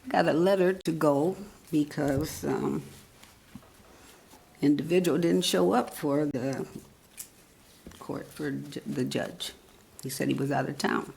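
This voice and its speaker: A woman in her eighties, speaking at a council meeting's public comment, halting and earnest as she searches for words. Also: deep voice, gravelly, a little mellow, slightly rough, rough recording. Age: 80s. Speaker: resident giving public comment. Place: council chamber podium.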